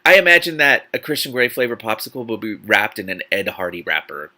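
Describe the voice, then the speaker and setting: A man hosting a dramatic book reading, animated and theatrical, breaking off into exasperated, incredulous, sarcastic commentary. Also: on the low side, brisk. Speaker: host reading a novel aloud with commentary.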